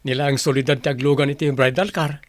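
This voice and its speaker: A man's voice: medium pitch, steady tone, fast, dry recording, close to an everyday voice. A man playing a devoted young groom-to-be, reassuring and teasing, in a radio-style drama. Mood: casual.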